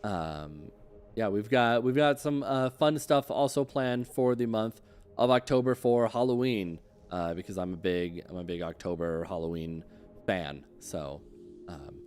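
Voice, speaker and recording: A man with a smooth, clear voice pitched a little low hosts a casual interview show. His delivery is halting, with hesitant pauses as he moves from point to point. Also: darkish tone. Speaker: host of an online tabletop gaming show.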